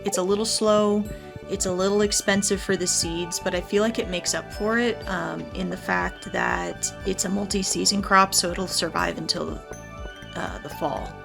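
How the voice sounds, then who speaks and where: A woman's voice: medium pitch, fairly even intonation, moderate pace, gravelly. A woman hosting a gaming video, giving chatty, explanatory let's play commentary in a casual style. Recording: background music under the voice. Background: game music.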